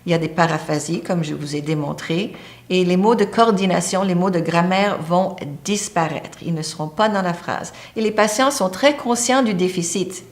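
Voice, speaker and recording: A woman's voice: fairly low pitch, moderate pace. A woman lecturing on a medical topic, explaining step by step and pointing to a diagram. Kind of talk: teaching lecture.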